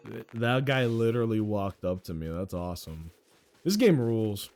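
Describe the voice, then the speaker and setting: A young man streaming a game and chatting casually, with a loud voice and a rambling, profane, self-mocking way of talking. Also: lowish voice, expressive, normal speed, faint music behind. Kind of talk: casual live-stream chat while gaming.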